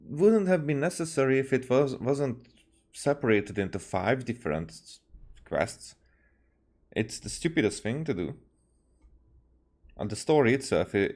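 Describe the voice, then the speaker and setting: Animated man commenting on a game. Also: mid-range voice. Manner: hesitant, halting, stammering. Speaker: game streamer. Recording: faint game music behind.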